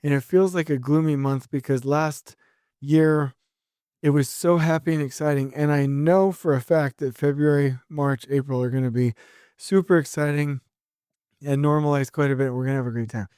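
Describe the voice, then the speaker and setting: Solo podcast host, a middle-aged man: eager, rambling, a bit hoarse from a sore throat, mellow smooth voice, dry close sound. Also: a little high, moderate pace, no room sound. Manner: energetic, rambling, many restarts.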